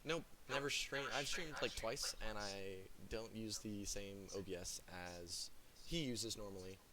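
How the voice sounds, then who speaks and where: A hoarse young man chatting as an esports caster, self-mocking and chatty before the match. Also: lowish voice, measured pace, a loud crowd, rough recording. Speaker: esports caster and stream operator. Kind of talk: casual pre-game esports commentary.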